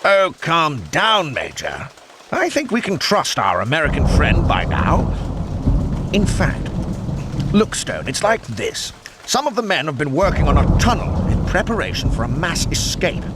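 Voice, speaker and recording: An actor playing a genial British senior officer in a video game, with a British accent and hearty, old-fashioned military politeness. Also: male voice, on the high side, huge pitch swings, measured pace, heavy background noise. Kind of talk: video game cutscene acting.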